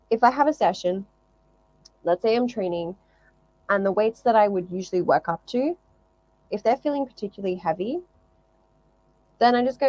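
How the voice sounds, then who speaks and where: A woman's voice: medium pitch, dark tone, low-quality recording. A woman talking casually to viewers as a fitness vlogger, explaining things emphatically and often repeating phrases in lists.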